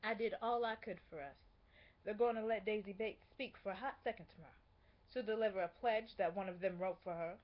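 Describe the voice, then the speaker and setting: A woman playing a dignified, indignant female civil rights activist, delivering a measured, emphatic theatrical speech. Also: medium pitch, natural voice. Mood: resolute, bitter. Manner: measured, emphatic, rhetorical, with weighty pauses. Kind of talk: theatrical monologue, oratorical speech. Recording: dull lo-fi amateur recording.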